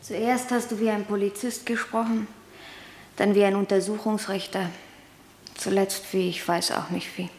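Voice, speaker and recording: A woman's voice: medium pitch, little pitch movement, moderate pace, a little mellow, a little hiss or hum, lightly acted. A woman in her twenties playing a defiant young woman held in jail, cool and firm. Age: 20s. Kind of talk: radio play acting. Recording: reverberant studio hall.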